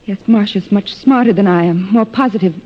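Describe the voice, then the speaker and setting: A woman's voice: mid-range voice, brisk, close to an everyday voice. An actress playing a self-doubting, wistful woman in a 1950s-style radio drama, hesitant, earnest and apologetic. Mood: shy, self-deprecating. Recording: muffled old-time radio recording.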